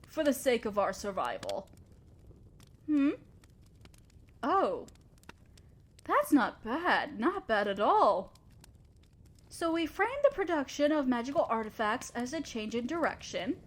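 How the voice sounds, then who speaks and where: A scheming evil queen, acted by a woman for a roleplay audio drama. Her voice sits on the high side, with an imperious, theatrical delivery. She talks down to her listener with haughty condescension and a begrudging fondness. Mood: worried, reluctant.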